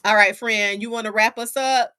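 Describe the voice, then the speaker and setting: A woman's voice: on the high side, fairly even intonation, normal speed, bright, forceful tone, clear, resonant tone, dry recording. A woman in her forties co-hosting a podcast, talking playfully and calling listeners honey and child as she reads the live chat. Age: 40s. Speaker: podcast co-host. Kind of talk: casual chat, reading live chat comments.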